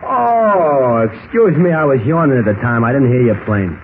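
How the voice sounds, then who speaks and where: A man's voice: mid-range voice, animated, quick pace, lightly acted. An actor playing a sleepy, easygoing gangster henchman with slangy American tough-guy talk in 1940s radio style. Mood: drowsy, indifferent. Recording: tinny, noisy old radio recording.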